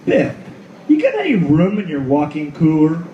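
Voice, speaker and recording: A hard-boiled, cynical detective, acted by a man in a live noir radio play. The voice is fairly high and swings widely, with slow, drawn-out theatrical phrasing, in an echoey room. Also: huge pitch swings, highs cut off, a little hiss or hum. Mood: weary, wry. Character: foul-mouthed hard-boiled last detective at world's end. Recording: echoey room, far from mic, music behind.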